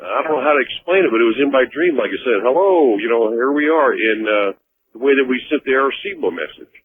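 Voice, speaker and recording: A man with an American accent calls in to a radio program and talks casually. His medium-pitched voice moves up and down in a lively way. The line is thin and phone-like. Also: quick pace. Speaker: caller.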